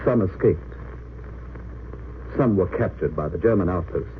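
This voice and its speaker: A man's voice: low-pitched, expressive, moderate pace. A man acting as a grave, declamatory narrator of a wartime tale in 1930s radio drama style. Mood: solemn, dramatic. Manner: solemn, declamatory. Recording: tinny, noisy old radio recording.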